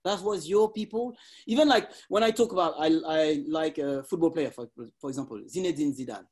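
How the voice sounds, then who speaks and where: A man in his forties with a notably high, light voice, talking in loose, animated English. He laughs often and reaches for words as he goes. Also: brisk, slightly rough. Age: 40s. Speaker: parkour practitioner. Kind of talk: casual conversation.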